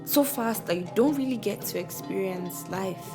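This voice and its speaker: A woman's voice: medium pitch, normal speed. A woman with a Nigerian accent talking reflectively, slipping into Pidgin phrases. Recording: music playing behind the voice.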